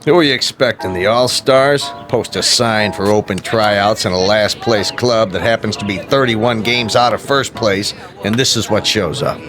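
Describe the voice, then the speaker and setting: An actor playing a gruff American owner of a losing ball club in a radio drama, blunt and sarcastic. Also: male voice, on the low side, moderate pace, music in the background, lightly acted. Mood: scornful.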